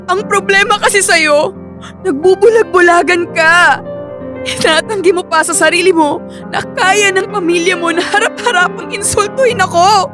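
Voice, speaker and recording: A woman's voice: very high-pitched, normal speed, over music. An actress playing a hurt, fed-up young girlfriend in a radio drama, plaintive and accusing. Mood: tearful, bitter.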